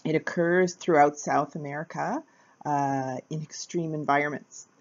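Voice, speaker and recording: A woman with a low voice narrates a capoeira history lesson at an easy pace. She hesitates often, filling gaps with uh between phrases. The recording sounds a little dull and lo-fi. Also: steady tone, unhurried. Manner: hesitant, many uh fillers, plain. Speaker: capoeira teacher. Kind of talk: casual educational narration.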